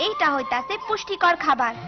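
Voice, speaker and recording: Cartoon village schoolgirl: cheerful, rural Bangladeshi dialect, very high and swooping, music behind. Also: animated, fairly quick. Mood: eager. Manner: eager, polite but outspoken. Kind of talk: cartoon voice acting. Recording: dull lo-fi cartoon audio with music.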